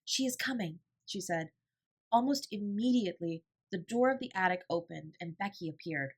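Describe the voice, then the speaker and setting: A woman narrating an audiobook in an expressive storytelling style. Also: lowish voice, normal speed, husky.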